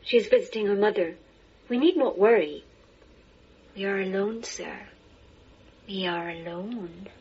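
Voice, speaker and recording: A woman's voice: mid-range voice, darkish tone, slightly rough, highs cut off. A woman in a radio drama playing a devoted, coy eighteenth-century servant girl of fifteen in a trance, speaking deferentially. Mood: coy, intimate. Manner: deferential, slow, coquettish.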